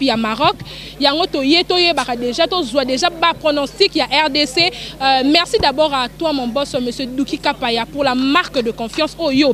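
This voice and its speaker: A young Congolese woman reporting on the street, chatty and lively, holding a handheld mic outdoors in a busy neighbourhood. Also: high-pitched. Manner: lively, chatty, mixes French and Lingala. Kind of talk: street interview.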